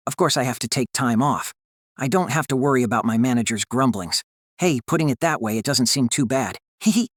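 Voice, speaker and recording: A man performs a young working man in an audiobook, groggy and anxious. He talks to himself in wry, questioning mutters at a middling pitch. The sound is dry and close. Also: a bit bright, dry recording. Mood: slyly pleased. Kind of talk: audiobook character acting.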